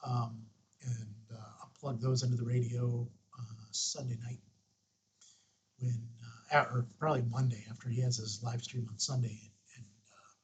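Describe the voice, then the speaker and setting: A man hosting an unscripted, casual software walkthrough, thinking aloud and murmuring to himself as he works. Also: medium pitch, flat delivery, moderate pace, muffled recording. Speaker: host of a radio tutorial.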